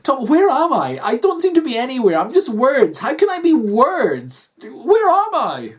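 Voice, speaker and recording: A woman's voice: sits high, wildly swooping pitch, measured pace, voice pushed up, exaggerated voice. A woman voicing a devoted, distressed female computer program who believes she is a wife, earnest and insistent. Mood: bewildered.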